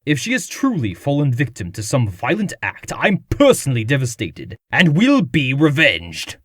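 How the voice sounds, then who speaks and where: A wealthy, pompous comic-dub aristocrat voiced by a man. His speech is formal and haughty, with highly animated intonation. The recording is very dry. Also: moderate pace, breathy edge, no room sound, exaggerated voice. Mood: grave, vengeful.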